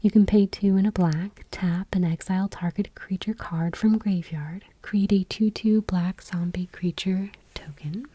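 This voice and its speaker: A woman speaking in a soft, breathy whisper, reading aloud gently and unhurriedly in an ASMR style. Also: medium pitch, a little slow, hardly any pauses, dry recording. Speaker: host of an ASMR channel.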